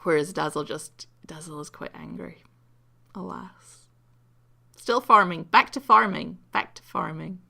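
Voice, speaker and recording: A woman talks through a video game replay in a loose, rambling way, full of ums and jokes at her own expense. Her low voice is croaky from sleep, with a gravelly fry and a bright, sharp edge. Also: unhurried. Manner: rambling and self-mocking, with many ums. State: croaky from just waking up. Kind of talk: casual replay commentary.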